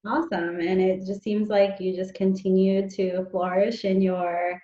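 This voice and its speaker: A woman's voice: medium pitch, little pitch movement, a little slow, mellow. A woman hosting a casual interview in an encouraging, affirming way. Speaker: interview host, dance teacher. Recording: dull, echoey room sound.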